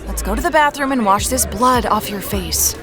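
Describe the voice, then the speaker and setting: A woman's voice: fairly high pitch, moderate pace, over music, lightly acted. A woman playing a determined, dryly frank amateur detective in a naturalistic audio drama. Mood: exasperated. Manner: dry, frank, stubborn. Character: determined amateur woman detective hunting her sister's killer.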